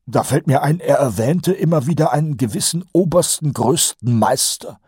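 A man voicing an anxious, dutiful male sergeant in a higher, eager voice, in a dry studio read. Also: moderate pace, darkish tone, slightly rough, no room sound. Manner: eager, higher-pitched, a bit worried.

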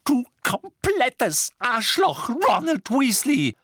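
A man voicing a furious, shrill teenage girl in an audiobook, shouting with biting sarcasm and heavy emphasis. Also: very animated, brisk, breathy edge, no echo, clean studio sound, heavily acted. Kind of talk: audiobook character voice.

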